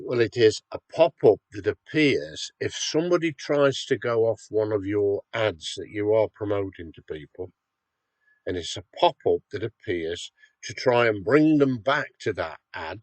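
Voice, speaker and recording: A man's voice: lowish voice, no room sound. A man with a cold, sounding slightly nasal, walking viewers step by step through a website tool in a screen-recorded tutorial. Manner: patient, step-by-step, checks in with "okay". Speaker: website owner demonstrating a tool.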